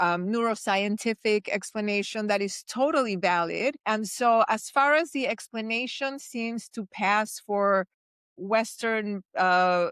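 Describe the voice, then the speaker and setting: Blunt, smooth-voiced woman from Chile. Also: medium pitch, no echo, studio quality. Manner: direct, blunt, animated. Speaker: podcast guest, magic teacher. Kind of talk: casual interview.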